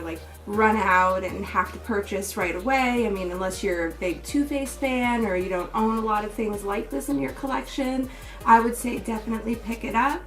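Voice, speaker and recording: A woman beauty vlogger, slightly hoarse, talking through a makeup tutorial step by step and then giving a casual review with many fillers. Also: mid-range voice, fairly bright tone. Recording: distant mic with music playing behind.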